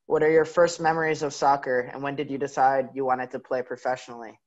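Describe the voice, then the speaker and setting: A man's voice: mid-range voice, flat delivery, a little fast. A man hosting an online event, casually putting questions and chatting with his guest. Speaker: host of a virtual fan event. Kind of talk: casual interviewing and chat. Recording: dull, lo-fi call audio.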